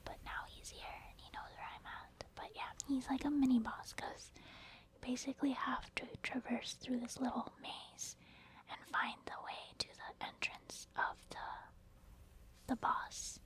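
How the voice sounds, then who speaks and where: A woman giving soft-spoken, informal ASMR commentary over her own video game play, explaining it gently as she goes. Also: sits high, poor audio. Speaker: video game streamer narrating own gameplay.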